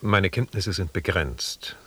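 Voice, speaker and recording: Ein Schauspieler spielt in einem Hörspiel einen höflichen, zurückhaltenden Expeditionsarzt auf einer rauschenden Kassettenaufnahme. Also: male voice, low-pitched, slow pace, a bit bright, slightly rough, heavily acted. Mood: politely reserved. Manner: polite, reserved. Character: courteous, deferential male expedition physician. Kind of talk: formal radio drama dialogue. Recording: hissy cassette tape.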